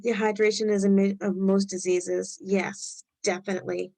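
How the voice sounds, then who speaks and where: A woman with a Rhode Island accent hosting a live health webinar, talking loosely and thinking out loud as she goes. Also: mid-range voice, flat delivery, moderate pace, creaky. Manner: loose, conversational, thinks aloud while reading the chat. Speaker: webinar host.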